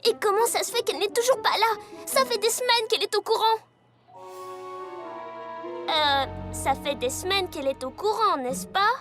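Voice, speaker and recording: A woman's voice: extremely high pitch, animated, moderate pace, music in the background. A woman voicing a feisty, impatient little girl pony in a French cartoon dub, speaking emphatically.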